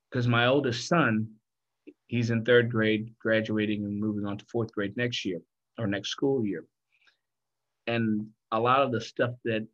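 A man from Liberia talking as an interview guest, telling his story in a thoughtful, deliberate way. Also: mid-range voice, fairly bright tone, highs cut off. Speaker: guest, founder of a financial firm.

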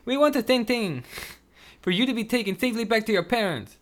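A man's voice: high-pitched, expressive, fairly quick, pitched above the performer's own voice. Sam, a snapping kidnapper woman, voiced by a man. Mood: menacing. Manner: shouting, snapping. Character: frantic female criminal kidnapper, bossy and sharp-tongued.

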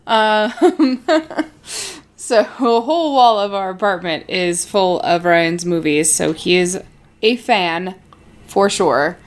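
A woman's voice: fairly low pitch, takes it slowly. A woman co-hosting a podcast from her apartment, chatting casually with many fillers and frequent laughs. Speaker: podcast co-host. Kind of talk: casual chat. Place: home apartment. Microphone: shared desk mic moved between speakers.

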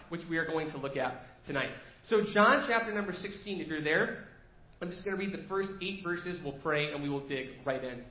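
A man preaching an evening sermon as pastor, slightly nasal from a cold, talking in a conversational, storytelling way in a church. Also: high voice. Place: church sanctuary. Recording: echoey church room, dull lo-fi sound.

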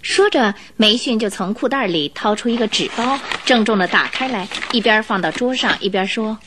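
A woman's voice: a little high, moderate pace, noisy background, lightly acted. A woman reading scripted narration for a radio drama.

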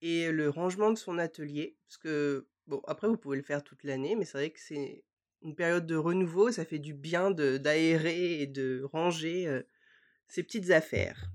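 A woman co-hosting a casual podcast, friendly and quick to laugh, her voice stuffy from a cold. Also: low voice. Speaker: podcast co-host.

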